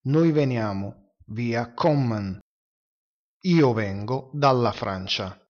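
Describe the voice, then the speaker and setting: A man teaching vocabulary in a slow, deliberate manner, giving each word and then its translation. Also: medium pitch, animated, highs cut off.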